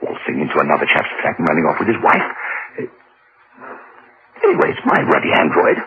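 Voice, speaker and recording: A man's voice: very deep voice, huge pitch swings, a little fast, slightly rough, full character voice. An actor playing a smug, suave English businessman and blackmailer in a radio drama, with a British accent and a condescending, urbane manner. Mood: wry, self-satisfied. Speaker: actor narrating and playing the lead. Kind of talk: radio drama acting and first-person narration. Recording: muffled narrow-band radio recording, faint noise.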